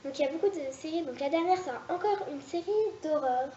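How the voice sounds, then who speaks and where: A high-voiced woman with a soft, mellow tone rambles through her story pitches, pausing and restarting often. The sound is roomy and a little dull, with a faint hiss underneath. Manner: hesitant, rambling, many restarts. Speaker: web series creator. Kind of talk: casual vlog pitching story ideas.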